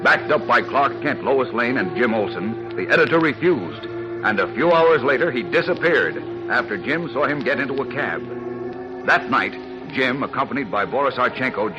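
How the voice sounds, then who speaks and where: A man narrating a 1940s radio serial as its announcer, in a dramatic, emphatic style. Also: mid-range voice. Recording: muffled old radio recording. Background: music.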